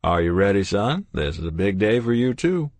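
A man's voice: on the low side, sing-song, dry recording. A man doing a kindly old doctor. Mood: cheerful. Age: older. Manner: gentle, unhurried, pleased. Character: kindly cheerful older male doctor.

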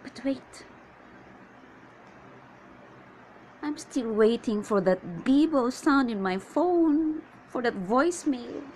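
A woman performing a comedic monologue as a needy, chatty woman waiting on a suitor, with theatrical exasperation and drawn-out questions. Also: sits high, lively intonation, a little mellow, a little hiss or hum, poor audio. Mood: flustered.

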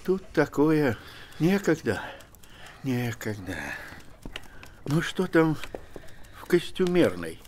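A man's voice: on the high side, big pitch swings, slow pace, long pauses, a little mellow, slight background noise. An actor playing an ailing, kindly, fussy old man, sighing and folksy, in a naturalistic TV drama. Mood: weary, relieved. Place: large wood-furnished office.

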